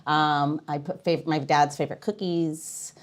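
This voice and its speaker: A woman's voice: deep voice, fairly even intonation, measured pace. Woman hosting a casual interview. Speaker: podcast host.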